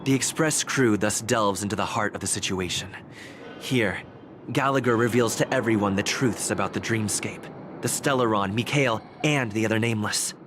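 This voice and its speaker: Game narrator, male actor: composed, formal storyteller, measured epic recap, lower register, clearly noisy backdrop. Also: lightly acted. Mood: measured, dramatic.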